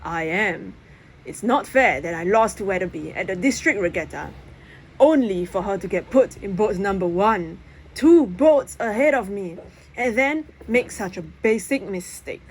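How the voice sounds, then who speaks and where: A woman's voice: mid-range voice, animated, measured pace, a little hiss or hum, low-quality recording. Storybook schoolboy sailor, played by a woman: cocky, boastful, competitive, a brash delivery. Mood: resentful.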